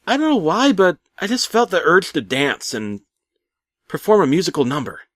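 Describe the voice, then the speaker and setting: A man narrating a comic first-person horror tale as a storyteller, theatrically shifting between hushed asides and loud exclamations. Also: a little high, huge pitch swings, moderate pace, no echo, studio quality. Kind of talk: dramatic first-person creepypasta narration, comedic.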